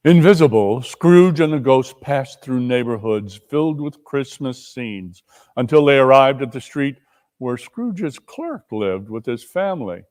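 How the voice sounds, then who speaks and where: A man's voice: mid-range voice, darkish tone, in a large hall. A man narrating a classic tale as a dramatic reader.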